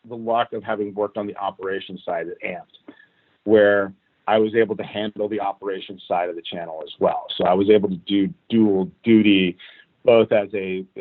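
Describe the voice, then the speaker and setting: A man from Cuba, a guest in an interview, telling how his career went in an animated, casual way. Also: lowish voice, no echo. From Cuban. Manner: animated, recounts events at length. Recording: tinny phone-like line, dry.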